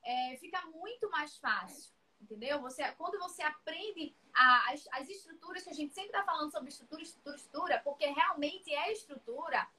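A woman's voice: sits high, expressive, brisk, few pauses, sharp tone, rough recording. A woman teaching essay writing on a live stream, chatty and reassuring, calling viewers by name. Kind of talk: live-streamed class, casual chat.

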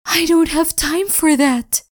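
A woman's voice: high voice, steady tone, takes it slowly, pitched above the performer's own voice. A woman voicing a small, frightened young female creature who pleads urgently in a storybook reading.

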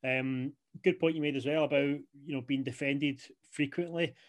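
A man's voice: medium pitch, steady tone, normal speed, crowd noise. A young man co-hosting a wrestling podcast, rambling casually and leaning on "you know" fillers. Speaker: podcast co-host. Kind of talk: casual chat.

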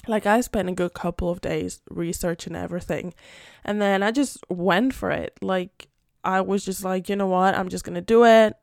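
A woman's voice: mid-range voice, fairly even intonation, moderate pace, creaky, no room sound, clean studio sound. A young woman from Spain, a native Spanish speaker, chatting casually and a bit rambling on her podcast, full of fillers. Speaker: podcast host and English teacher. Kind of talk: casual solo podcast chat.

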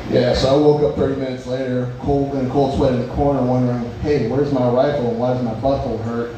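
A man's voice: medium pitch, little pitch movement, fast talker. A young man doing a stand-up set at a bar open mic, working the crowd in a crude, rambling, self-mocking way. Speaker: stand-up comedian. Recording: echoey bar from far off, noisy.

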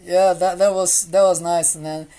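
A man's voice: sits high, flat delivery, measured pace, warm tone. A Brazilian man with a Portuguese accent, a guest chatting in English with a friend, hesitant and full of fillers and repeated words. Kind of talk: casual chat in English with a friend.

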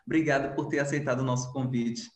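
A man's voice: mid-range voice, steady tone, fast, slight background noise. A man, moderating a conversation politely and informally as host. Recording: echoey room.

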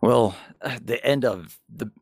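A man's voice: medium pitch, unhurried, creaky, darkish tone, no room sound, studio quality. A man co-hosting a podcast, chatting casually and frankly about games and manga, with some swearing. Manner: profane, frank. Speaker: podcast co-host.

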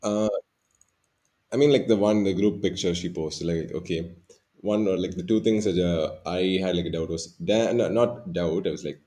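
Young man with a very deep voice. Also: normal speed, dark tone. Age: 20s. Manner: rambling, many fillers and restarts. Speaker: podcast co-host. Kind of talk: casual chat.

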